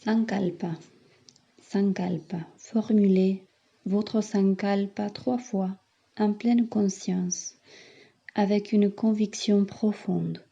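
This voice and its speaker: A woman yoga teacher leading a guided meditation in soothing, measured instructions with long pauses, on a slightly muffled recording. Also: mid-range voice, fairly even intonation, vocal fry, warm tone, husky.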